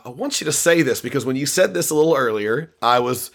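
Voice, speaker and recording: A man's voice: mid-range voice, lively intonation, fast, gravelly, fairly bright tone. A man hosting a casual music chat, rambling and restarting his sentences. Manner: rambling, chatty, restarts a lot. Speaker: podcast host.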